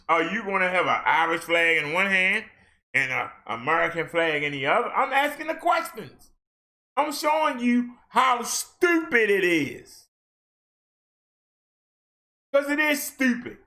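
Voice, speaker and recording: A man vlogging a heated rant in casual colloquial speech, firing off rhetorical questions and at times shouting. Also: sits high. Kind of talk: ranting social commentary.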